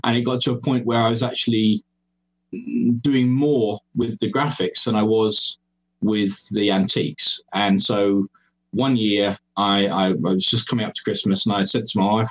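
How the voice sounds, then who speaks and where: A man's voice: lowish voice, steady tone, moderate pace, rough recording. A man in his forties with an English accent, a podcast guest chatting casually and hesitantly, with many fillers and restarts. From England, raised in Cyprus. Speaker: podcast guest, geocoin designer. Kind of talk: casual chat over a call.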